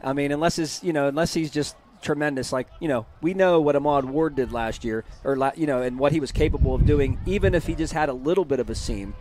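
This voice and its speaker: A man calling a high school football broadcast from the press box, chatty and energetic, and losing his voice. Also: background noise. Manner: chatty, energetic, reads scores quickly. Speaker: play-by-play broadcaster. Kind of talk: postgame sports commentary.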